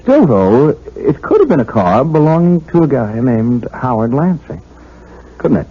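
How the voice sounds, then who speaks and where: Halting, earnest young patrolman, tinny old radio. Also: medium pitch, big pitch swings, husky. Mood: earnest, probing. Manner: halting, hesitant, informal cop talk. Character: earnest, awkward young patrolman, smitten and gently probing. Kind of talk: 1970s radio drama acting. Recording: muffled lo-fi old radio recording.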